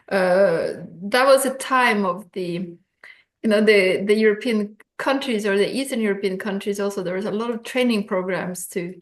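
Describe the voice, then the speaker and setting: A woman speaks as an interview guest over a video call. She tells her story in a thoughtful, reflective way and often pauses on filler sounds. Her pitch sits in the middle range. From Norway. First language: Norwegian. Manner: thoughtful, hesitant, many ums.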